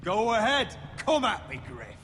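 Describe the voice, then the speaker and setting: An actor playing a resolute, grim young lord in a dramatic video game, terse, determined and commanding. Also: male voice, sits high, huge pitch swings, unhurried, fairly bright tone, slight background noise, exaggerated voice. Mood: defiant. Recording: music under the voice.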